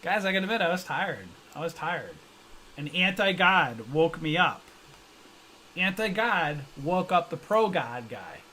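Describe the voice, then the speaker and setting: A man's voice: a little high, big pitch swings, measured pace, bright, forceful tone, slight background noise. Upbeat joking man on a trading stream. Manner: loose, joking, slangy, reacts to viewer comments. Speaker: stock trading livestream host. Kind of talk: casual livestream chat reading comments.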